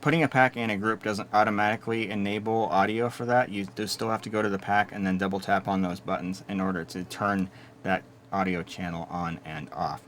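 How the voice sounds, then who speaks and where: A man giving a steady, methodical hands-on walkthrough of equipment he is reviewing. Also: lowish voice, brisk, slight background noise. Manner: steady, methodical explaining with small restarts. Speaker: product reviewer.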